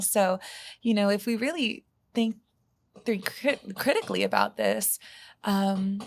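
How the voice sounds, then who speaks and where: A woman guest on a podcast, speaking in an earnest, reflective way and often saying "you know". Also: mid-range voice. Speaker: guest, healer and psychedelic guide. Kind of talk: podcast conversation.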